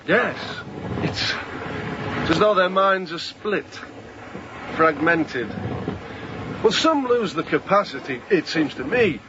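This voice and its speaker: Second mate, fairly high voice, formal, measured, insinuating, radio play, noisy muffled recording. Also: male voice, animated. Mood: slyly polite. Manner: measured, formal, slyly polite. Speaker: actor in a sea drama. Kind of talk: radio drama acting. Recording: muffled, noisy outdoor deck ambience.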